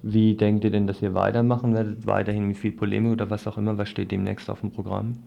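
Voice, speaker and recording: Very creaky, quick-talking male interviewer. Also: lowish voice, little pitch movement, muffled recording. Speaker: radio interviewer. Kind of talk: news interview questioning.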